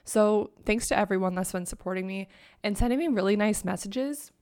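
A young woman chatting into her own microphone for her podcast, upbeat and rambling, with lots of "like" along the way. Her voice still has a sleepy, just-woken edge. She sits at a middle pitch for a woman. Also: fairly quick, a little mellow, clean tone. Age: young adult. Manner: chatty, upbeat, heavy use of "like". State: sleepy morning voice. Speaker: podcast host. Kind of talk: casual solo podcast talk. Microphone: own microphone and laptop.

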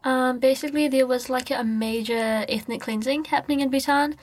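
A woman's voice: high-pitched, fairly even intonation, brisk, dry recording. A woman, chatting informally in an interview and peppering her talk with "like". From New Zealand, born in Nepal. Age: late teens. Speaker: podcast guest, student. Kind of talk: casual interview chat.

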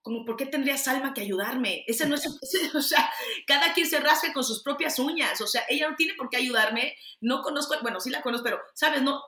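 Woman, Mexican accent, candid, emphatic, bright voice, roomy echo. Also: high voice, brisk. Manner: candid, emphatic, frequent restarts and fillers. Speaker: actress and producer. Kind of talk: interview answers.

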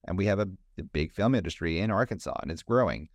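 Very low male voice, American accent, podcast host, earnest, encouraging, conversational. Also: lively intonation, fast, no room sound. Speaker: podcast host interviewing a guest. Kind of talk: conversational interview.

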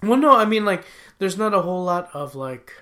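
A man's voice: high voice, lively intonation, a little fast, bright, forceful tone. A man chats casually as a podcast co-host. He stops and restarts his sentences a lot, feeling his way toward what he means. The tone stays informal and conversational. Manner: hesitant, restarts often, searches for words. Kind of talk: casual chat counting down songs.

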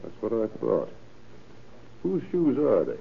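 An actor playing a calm, insistent police detective in an old radio crime drama, coolly polite and probing. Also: male voice, mid-range voice, a little slow, creaky, exaggerated voice. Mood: pressing. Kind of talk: 1930s-40s radio crime drama acting. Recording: old tinny radio recording with noise.

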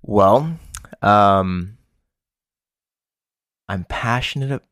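A man's voice: fairly low pitch, drawn-out words, fairly bright tone, no echo. A man with an American accent answering questions as a podcast co-host, chatting casually with playful, dry replies. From eastern United States. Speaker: podcast co-host being interviewed. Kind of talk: casual chat.

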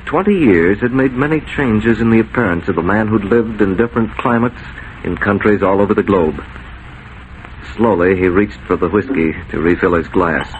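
A man's voice: on the low side, moderate pace, phone-quality sound, slight background noise. A man narrating a scripted 1940s radio adventure drama on a vintage broadcast recording.

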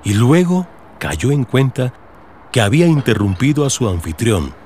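A man's voice: medium pitch, sing-song, normal speed, noisy background. A man with a Colombian accent narrating a dramatized audiobook in the style of a radio serial. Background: music under the voice.

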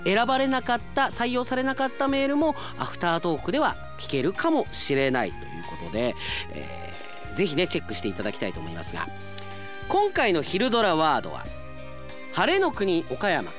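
A male radio announcer hosts his show with a highly animated, swinging intonation. He slips conversational asides into his presentation. Music plays clearly behind his voice. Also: a little fast.

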